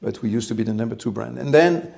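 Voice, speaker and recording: A man in his sixties with a gravelly, slightly creaky voice, speaking at a middling pitch. He tells his story in a calm, reflective way as an interview guest. He often stops briefly to search for the right word. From France. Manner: measured, reflective, pauses often to find words. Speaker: company head, interview guest.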